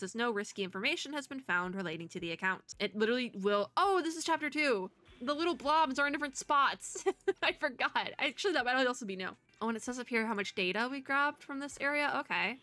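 A young woman rambles cheerfully while she plays, her voice bright and clear. Her nose sounds stuffy, and she talks in a loose, giggly way into a desk mic. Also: mid-range voice. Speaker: streamer. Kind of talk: casual gameplay commentary.

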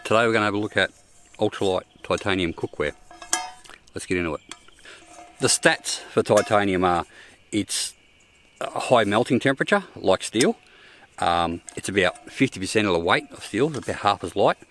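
A man's voice: fairly low pitch, lively intonation, normal speed, long pauses, a bit bright. An older Australian man reviewing camping cookware outdoors in the bush, talking plainly with frequent ums and restarts. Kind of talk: casual gear review vlog. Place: outdoors in open bushland.

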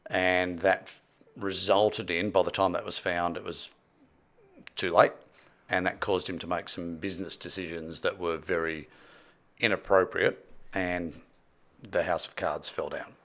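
A man's voice: sits low, steady tone, measured pace, fairly bright tone. A man in his sixties telling stories conversationally and emphatically as an interview guest, on a close studio microphone. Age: 60s. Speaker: podcast guest, property development expert. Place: small indoor podcast studio. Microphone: close boom-arm studio microphone with headphones.

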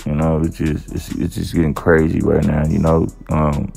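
A relaxed man talks through a news story and his own opinions in a slow, easygoing drawl full of fillers. His voice sits very low with a rough, gravelly edge. Faint music plays under him. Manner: laid-back, drawling, with many fillers. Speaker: channel host. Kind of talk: casual commentary reading a news article.

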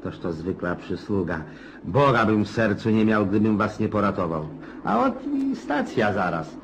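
An actor playing a garrulous, gloomy and pushy old retired Cossack officer in a radio play, rambling in a folksy, insistent way with hums and chuckles. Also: male voice, on the low side, very animated, normal speed, a little hiss or hum. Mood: jovial, insistent. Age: older.

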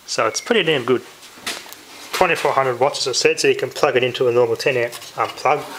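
Noisy handheld camera audio with clicks, recorded indoors. An Australian man gives a casual hands-on demonstration at a middling pitch. He talks offhand as he works, half to himself. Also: fairly quick, a bit bright. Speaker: hobbyist video maker. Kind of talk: casual hands-on demonstration, talking while working. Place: indoors at home, working by hand. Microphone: handheld camera microphone.

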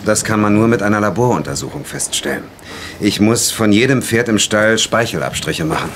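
An actor playing a careful, serious horse veterinarian in an audio drama, measured and instructive. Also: male voice, lowish voice, animated, fairly bright tone, a little hiss or hum. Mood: concerned.

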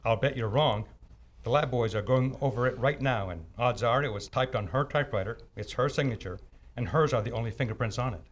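An actor playing a teasing, cocksure police homicide detective in an old-time radio mystery, with smug, clipped delivery. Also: male voice, medium pitch, brisk, natural voice. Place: theatre stage, live performance. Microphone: stand mic on stage.

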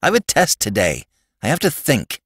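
A man's voice: lowish voice, big pitch swings, measured pace, no room sound, studio quality. A man voicing a stubborn, irritable young schoolboy in a storybook reading, defiant and petulant. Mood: irritated, defiant.

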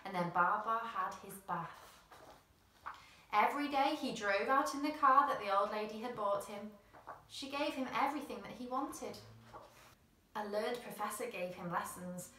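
A woman's voice: mid-range voice, bright, forceful tone. A woman, reading a children's picture book expressively in a small room. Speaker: storyteller. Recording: roomy, slightly distant mic.

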